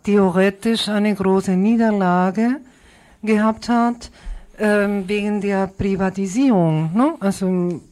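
Woman radio host with mid-pitched voice. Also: takes it slowly, a little mellow. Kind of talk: conversational political radio talk.

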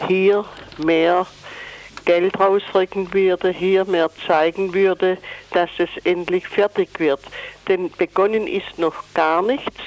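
An older woman giving an interview statement as an association's chairwoman, deliberate, emphatic and critical. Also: fairly low pitch, a little mellow, poor audio.

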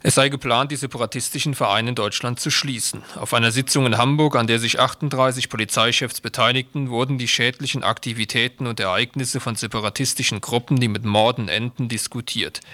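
Bright, dry-sounding man reading reports. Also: mid-range voice, dry recording. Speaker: radio reader of quotations. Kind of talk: scripted reading of official statements and reports.

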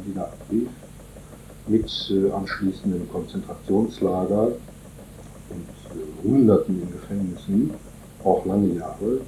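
Man, very low voice, dark tone, hesitant interview guest, roomy old radio recording. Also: a little hiss or hum. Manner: halting, many fillers and restarts. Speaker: interview guest from a solidarity group.

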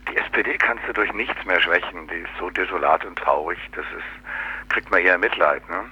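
Man, husky voice, colloquial, sardonic, tag questions, lo-fi interview sound. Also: on the low side. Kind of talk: casual explanatory interview talk.

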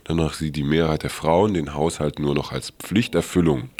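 A man presenting radio news in a somewhat halting, hesitant manner. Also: extremely low pitch, dark tone. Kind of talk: semi-scripted radio news reading.

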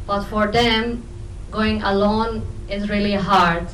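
A young woman from Afghanistan, not a native English speaker, answering questions informally and haltingly at a film talk. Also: medium pitch, steady tone, fairly bright tone. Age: young adult. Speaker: documentary film protagonist. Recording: roomy, distant mic, faint noise.

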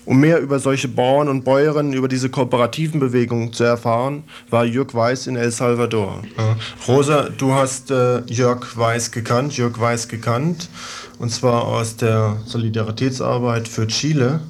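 A man's voice: mid-range voice, steady tone, normal speed, slight background noise. A man hosting a radio programme, interviewing and reading out announcements. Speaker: radio host.

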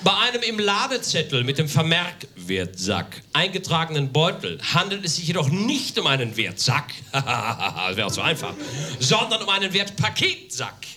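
A man from Germany doing a live comic reading on stage, deadpan and mock-formal with emphatic declamation. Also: on the high side, normal speed, few pauses, crowd noise. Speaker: comedic reader on stage. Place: live on stage in a theatre café, audience laughter behind.

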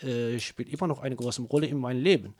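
A Kurdish singer whose first language is Kurdish, speaking hesitant, broken German with many fillers while being interviewed. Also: male voice, mid-range voice, a little mellow, husky, no echo, clean studio sound. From Kurdish region of Turkey. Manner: halting non-native German with many fillers. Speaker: singer, guest. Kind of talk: interview answers.

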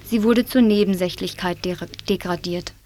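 A woman reading a critical report aloud in a measured way on a 1980s radio broadcast. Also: on the low side, heavy background noise. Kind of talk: read commentary report.

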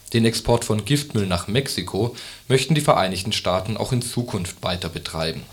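A man reading scripted radio news as a news reader. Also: fairly low pitch.

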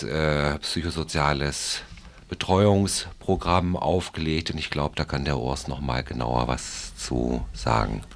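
A man giving explanatory interview answers as a clinic staff member, careful and formal in his wording, correcting himself as he goes. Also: extremely low pitch.

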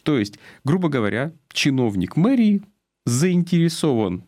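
A man giving political commentary off the cuff, earnest and deliberate, stressing his key words. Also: fairly high pitch, sing-song, a little mellow, dry recording, clean studio sound.

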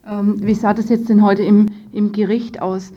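A woman's voice: mid-range voice, flat delivery, normal speed. A woman radio interviewer asking questions in an informal, conversational way. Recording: dull, lo-fi radio recording.

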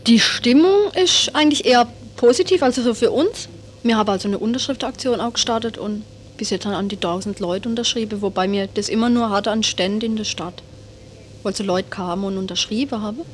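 A woman's voice: mid-range voice, fairly quick. A woman giving a spontaneous interview answer as an activist, hesitant and searching for her words. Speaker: citizens' initiative activist, interviewee. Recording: noisy interview with background ambience.

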